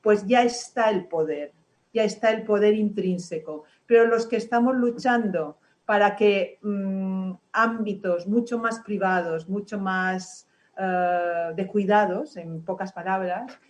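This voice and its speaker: A woman panelist in an online discussion, earnest and halting, with many fillers. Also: mid-range voice, darkish tone.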